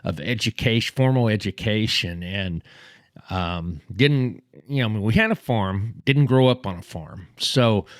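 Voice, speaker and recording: A man in his fifties with a Texas accent, host of a history channel, tells a personal story. His voice sits on the low side and stops and restarts often. The sound is close and dry, with no room around it. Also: expressive, no echo. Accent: Texan. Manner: halting, many fillers and restarts.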